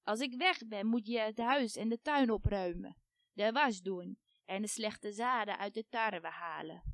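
A woman voicing a cruel, ravenous ancient witch who drawls out slow hissing threats. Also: mid-range voice, no echo, studio quality, natural voice. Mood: menacing.

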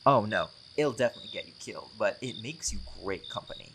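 A man's voice: lowish voice, lively intonation, quick pace, vocal fry, noisy background. A man playing a laid-back, charming, centuries-old vampire who teases and jokes casually with a friend in an audio roleplay. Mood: easygoing, intrigued. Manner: joking, teasing, often chuckling.

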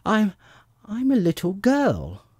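A small, well-mannered storybook girl, as a reader puts her on. Her voice is pitched far up and moves in lively swoops, earnest and a little bewildered, with a soft breathy grain. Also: normal speed, no room sound, studio quality. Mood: earnest, flustered.

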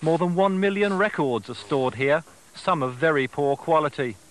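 Higher-pitched English male news reporter. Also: moderate pace, rough recording. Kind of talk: scripted news narration. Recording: music behind, home off-air TV recording.

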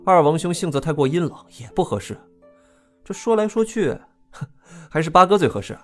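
An actor playing a frank, excitable young prince, the outspoken younger brother, in an audio drama. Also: male voice, fairly high pitch, a little fast, soft background music. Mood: indignant, insistent.

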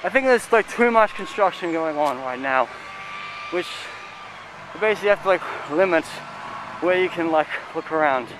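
A rambling man in his thirties narrates a walking tour outdoors, speaking into a handheld camera held at arm's length. His voice sits a bit high for a man, and faint outdoor ambience hums behind him. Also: lively intonation, normal speed, background noise. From United Kingdom. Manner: rambling, chatty, lots of "like" and "I guess". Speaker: travel vlogger. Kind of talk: casual walking tour commentary. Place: outdoors in a city park and fortress.

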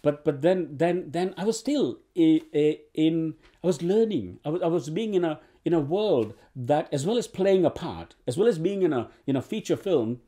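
An older man, born in Uganda, talks through his acting career, seated in a living room. His voice is very dark and mellow, and he circles back with restarts and filler sounds as he thinks aloud. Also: on the high side, lively intonation, moderate pace, hardly any pauses. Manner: rambling, many restarts and fillers. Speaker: actor interviewee. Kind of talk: oral history interview. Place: living room with bookshelves and sofa.

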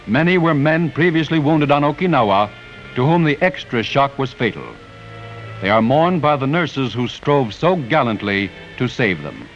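A man's voice: mid-range voice, animated, normal speed. An American man reading scripted 1940s newsreel narration in a solemn, declamatory, patriotic manner. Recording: dull vintage newsreel soundtrack with music.

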